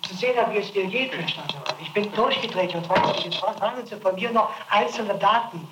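A man's voice: sits high, fairly quick. A man being questioned as a suspect, insistent and defensive, stammering as he repeats his account. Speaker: suspect under interrogation. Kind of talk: archival interrogation recording. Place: prison interrogation room. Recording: roomy old tape recording with faint hiss.

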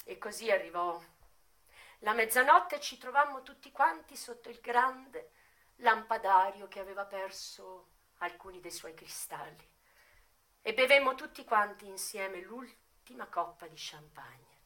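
An actress playing a worldly, wistful retired brothel madam in a theatrical radio monologue, with dramatic pauses and swings between hushed and emphatic delivery. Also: female voice, mid-range voice, animated, low-quality recording. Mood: wistful, moved.

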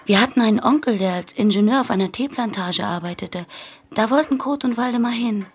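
An actress playing a reflective sister with a husky voice, reminiscing plainly in a radio play. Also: female voice, on the high side, fairly quick, a little hiss or hum, natural voice.

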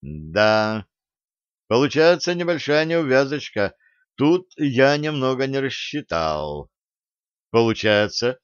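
A man's voice: mid-range voice, no room sound, highs cut off. A man reading a first-person novel aloud as an audiobook narrator.

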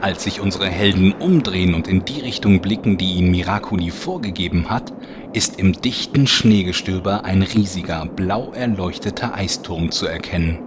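A man's voice: low voice, moderate pace, background noise, close to an everyday voice. A man playing the storyteller of a mock-epic fantasy soap, narrating a scripted audio drama. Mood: measured, mock-epic.

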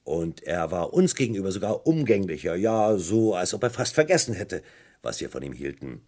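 An actor playing a sly, needling police inspector in a vintage crime radio play, with feigned politeness and probing, theatrical emphasis. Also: male voice, low voice, sing-song, moderate pace, darkish tone. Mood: explaining, satisfied.

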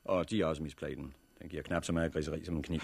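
A man acting in a 1960s radio drama as a brisk, authoritative survivors' leader with a commanding, matter-of-fact manner. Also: very deep voice, fairly even intonation, gravelly, a little mellow, husky, no echo, full character voice. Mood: brisk, businesslike. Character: brisk, authoritative male organizer of disaster survivors.

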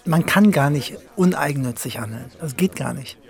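An actor playing an exasperated, well-meaning friend who insistently contradicts a pessimist, in colloquial, naturalistic radio drama. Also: male voice, fairly high pitch, lively intonation, normal speed, vocal fry, a little mellow. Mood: lecturing.

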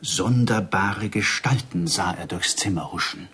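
An actor in a German radio drama playing an excitable villager who passes on wondrous rumours with suspense. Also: male voice, deep voice, unhurried, husky, heavily acted. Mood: awed, excited.

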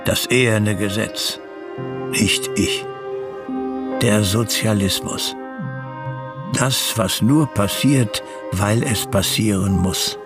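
A man playing a brooding, guilt-haunted schemer in a radio drama, speaking in halting, solemn fragments over music. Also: lowish voice. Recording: music bed under the voice.

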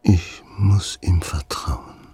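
An actor playing an anxious patient in halting, hushed inner monologue in a radio drama. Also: male voice, sits low, slightly rough, exaggerated voice. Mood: wary doubt. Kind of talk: German radio drama acting.

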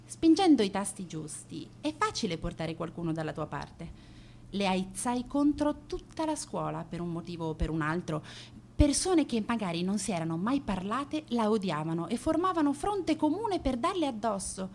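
Proud teenage schoolgirl, very lively intonation, sardonic, defiant, radio drama monologue, faint background noise. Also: medium pitch, normal speed. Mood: sardonic, smug. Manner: sardonic, self-justifying, defiant. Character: angry, proud, manipulative teenage schoolgirl confessing to bullying.

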